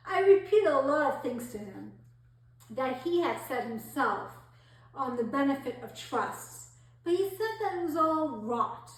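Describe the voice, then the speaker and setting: A woman performing a theatrical monologue as a gullible, self-pitying society lady, affected, gushing and confiding. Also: high-pitched. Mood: aggrieved. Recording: very echoey room, distant mic.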